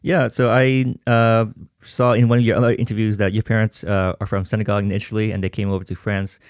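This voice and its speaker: A man hosting a podcast, with a fairly low voice recorded dry and close to the mic. He asks his questions in a relaxed, friendly way. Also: brisk, smooth, dry recording. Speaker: podcast host. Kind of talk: interview conversation.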